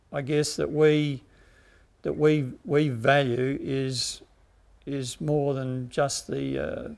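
A man in his fifties with an Australian accent, a grain grower giving measured interview answers outdoors on a farm, often repeating words. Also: medium pitch, creaky, a little mellow. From South Australia.

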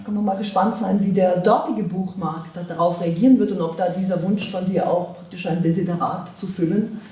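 A middle-aged woman interviewing an author, asking measured, academic questions. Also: fairly low pitch, steady tone, warm tone, recorded from a distance. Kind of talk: literary interview. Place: bright room with tall windows. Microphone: far camera mic. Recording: roomy, from afar.

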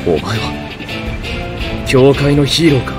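A man's voice: mid-range voice, lively intonation, a little slow, music in the background, close to an everyday voice. A man voicing an earnest, intense young cyborg hero, formal and solemn, in an anime game cutscene. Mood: grim resolve. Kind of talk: Japanese anime game acting.

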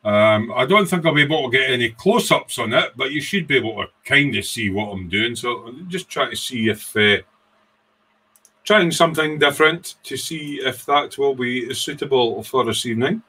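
A man's voice: medium pitch, lively intonation, moderate pace, bright, forceful tone, slightly rough. Rambling older Scottish man chatting on livestream. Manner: rambling, informal. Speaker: livestream host, hobbyist. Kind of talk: casual livestream chat. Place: small home workshop room.

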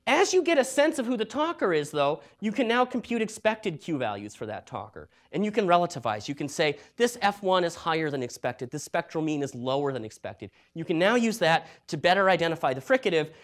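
A middle-aged man giving an academic lecture in a hall, talking in a lively, conversational way with joking asides. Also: fairly high pitch, brisk, few pauses. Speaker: university researcher giving a talk.

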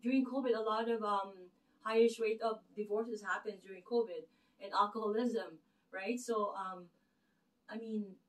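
A woman's voice: medium pitch, steady tone, moderate pace. A middle-aged woman with a Filipino accent, giving an earnest, rambling faith talk to camera with many fillers and restarts in her living room. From Philippines. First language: Tagalog. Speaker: channel host. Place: living room with a lit Christmas tree. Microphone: camera across the room. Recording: distant camera mic in a room.

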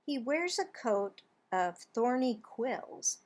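A woman in her sixties reading a children's picture book aloud, expressively, with drawn-out words and pauses. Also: a little high, big pitch swings. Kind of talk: children's storybook read-aloud. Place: small home office, indoors.

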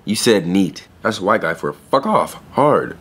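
A young man working in a pet store, in an audio drama. His voice sits fairly low. He talks in blunt slang with hesitant turns, guarded yet earnest. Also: very animated, moderate pace, a bit bright, slightly rough, full character voice. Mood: awkward, earnest. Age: young adult. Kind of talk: naturalistic audio drama acting.

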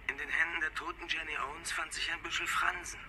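An actor playing a courteous, attentive, protective doctor in a German radio thriller. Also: male voice, a little high, level tone, fast, lightly acted. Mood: flustered, friendly. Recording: loud background noise and ambience.

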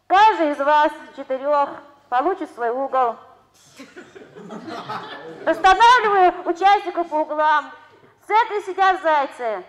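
A woman's voice: high-pitched, lively intonation, unhurried, pauses often. An actress playing an officious young woman who runs party games in a Soviet radio play, with bossy, stilted announcer phrasing. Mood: insistent. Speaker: evening entertainer. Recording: noisy crowd behind. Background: noisy crowd in a hall.

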